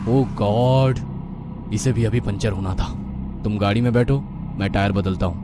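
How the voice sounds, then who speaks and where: A man playing a curt, domineering doctor husband in an animated horror story. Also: on the low side, measured pace, very noisy, lightly acted. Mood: annoyed. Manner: curt, commanding.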